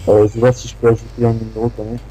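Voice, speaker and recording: A man's voice: on the low side, monotone, rapid-fire, dark tone, poor audio. A young man in a casual chat on an internet call, rambling and slangy as he talks. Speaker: prank caller's friend. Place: Skype call. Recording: faint background noise on internet call.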